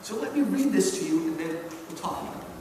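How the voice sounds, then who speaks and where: High-pitched animated man lecturing, very echoey hall. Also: quick pace. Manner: emphatic, theatrical storytelling. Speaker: lecturer and college teacher. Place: large indoor hall at a public event. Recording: very echoey hall from far off, faint noise.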